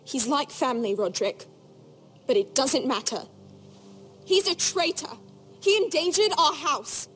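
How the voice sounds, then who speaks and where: A woman's voice: high-pitched, a little slow, long pauses, a bit bright. Teen noble girl from a fantasy game, super earnest and grave, kinda hesitant, bit of music under it. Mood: grave, resolute. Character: earnest, grave teenage noble girl, troubled younger sister. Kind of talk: dramatic video game acting. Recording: music behind the voice.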